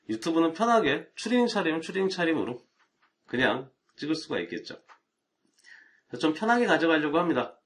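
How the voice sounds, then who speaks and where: A man's voice: a little high, a bit bright. A middle-aged man, an IT networking instructor, talking casually and chattily to viewers on a live stream, with rhetorical asides.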